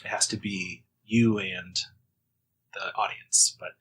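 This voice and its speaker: A man hosting a casual podcast interview, speaking in halting, thoughtful phrases. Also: deep voice, level tone, fairly bright tone, slightly rough.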